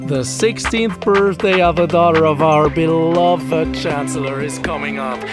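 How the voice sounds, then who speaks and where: Unhurried low-voiced news co-presenter. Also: female voice. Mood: breezy. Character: breezy, jokey station news co-presenter. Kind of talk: scripted news broadcast parody. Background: music.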